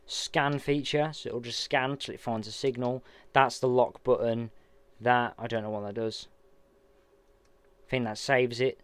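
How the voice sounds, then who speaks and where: A man with a smooth, clean voice talks through an unboxing in a chatty, step-by-step way. The sound is close and dry, with no room echo. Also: medium pitch, fairly even intonation, a little slow, long pauses. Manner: chatty, hands-on, narrating each step. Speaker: vlogger unboxing radio gear. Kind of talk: casual product unboxing walkthrough.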